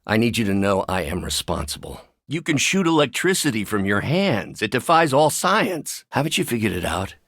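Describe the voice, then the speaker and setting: A brilliant, mild-mannered scientist in a video game. His middle-aged voice sits fairly low and moves expressively. He is measured and articulate, wry but weighed down by guilt, and the sound is very dry. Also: sing-song, vocal fry, fairly bright tone, no room sound, heavily acted. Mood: curious, wry, then guarded, weary.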